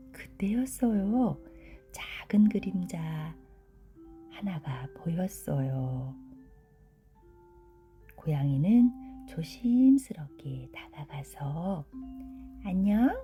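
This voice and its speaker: A woman tells a children's bedtime story, slowly and very softly. Her voice is very dark and mellow, gentle and soothing, with a melodic rise and fall. Faint music plays behind her. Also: fairly low pitch. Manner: gentle, hushed, soothing, drawn-out delivery.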